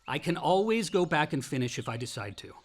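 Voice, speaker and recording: An actor playing a volatile, wounded college-age son in a radio play, with a sardonic, defensive American delivery. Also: male voice, fairly high pitch, sing-song, fairly quick. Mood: sullen, defensive. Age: young adult. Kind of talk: naturalistic radio play acting.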